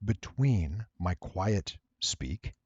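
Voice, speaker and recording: A man with a low, slightly rough voice walks through a tutorial. He explains deliberately and with emphasis in a very dry, close recording. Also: animated, no room sound, lo-fi sound. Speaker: voice actor giving an audio editing tutorial. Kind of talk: explanatory screen-recording narration.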